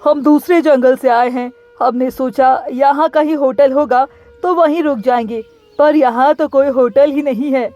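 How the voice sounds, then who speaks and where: A woman's voice: sits high, fairly even intonation, unhurried. A woman voicing a courteous, earnest visiting bird, a weary traveller in a cartoon story, on a dull lo-fi recording. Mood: troubled.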